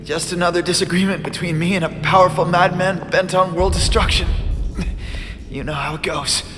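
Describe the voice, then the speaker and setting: A man's voice: high-pitched, steady tone, moderate pace, natural voice. A young American man playing a wisecracking, stubbornly upbeat young superhero in video game cutscenes. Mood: wry. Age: young adult. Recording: reverberant game audio under music.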